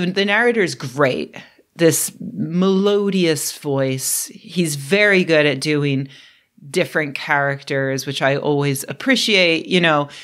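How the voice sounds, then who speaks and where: A young woman talks off the cuff about books in an unhurried, meandering way, full of little fillers and self-mocking asides. Her voice is a touch throaty from a lingering cold. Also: deep voice, fairly bright tone. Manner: rambling, self-deprecating, with frequent fillers and laughs. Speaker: book vlogger. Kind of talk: unscripted casual vlog.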